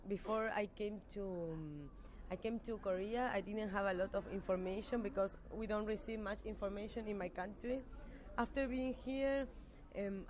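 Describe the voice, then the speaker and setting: A young adult woman with an Argentine accent, giving hesitant, thoughtful answers in an informal interview. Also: mid-range voice. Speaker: master's student interviewee.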